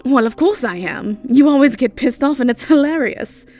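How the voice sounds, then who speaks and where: A woman's voice: sits high, animated, normal speed, darkish tone, voice pushed up. A woman voicing a brash, teasing, foul-mouthed young woman in an audio drama, with a slangy, mocking delivery. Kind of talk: audio drama character acting.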